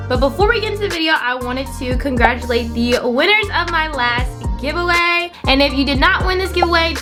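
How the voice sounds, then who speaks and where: A woman of twenty-one talking casually to her viewers as a vlogger, in an upbeat, encouraging, chatty way with emphatic repetition. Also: high-pitched. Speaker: vlogger hosting own channel. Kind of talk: casual chat to camera.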